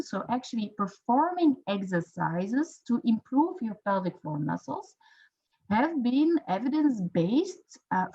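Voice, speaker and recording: A woman's voice: on the high side, a little mellow, slightly rough, highs cut off. Hesitant Dutch-accented woman lecturing. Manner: hesitant, frequent fillers and self-corrections. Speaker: physiotherapy researcher and lecturer. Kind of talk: online lecture presentation.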